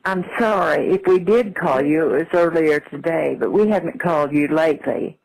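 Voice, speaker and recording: A woman's voice: low-pitched, moderate pace, gravelly, a little mellow, low-quality recording. An older woman talking on the telephone in a plain-spoken, admonishing manner. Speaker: prank call recipient. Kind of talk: phone conversation.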